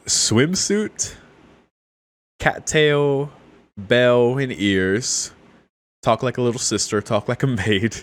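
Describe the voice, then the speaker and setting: A man's voice: mid-range voice, slow, pauses often. A man streaming a visual novel, reading it aloud and reacting in a casual, amused, informal way. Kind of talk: casual let's play commentary with read-aloud passages.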